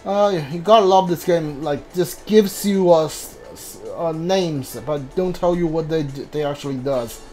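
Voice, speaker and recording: A gamer giving casual, hesitant commentary on a playthrough, often muttering to themselves in a halting way. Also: medium pitch, darkish tone. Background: video game music.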